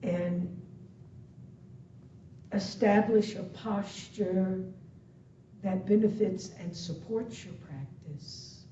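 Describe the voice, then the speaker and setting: Very slow, mellow woman guiding meditation. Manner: soothing, deliberate phrases with long pauses. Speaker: meditation and yoga instructor. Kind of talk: guided meditation. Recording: muffled, echoey, distant web-call audio, faint rumble.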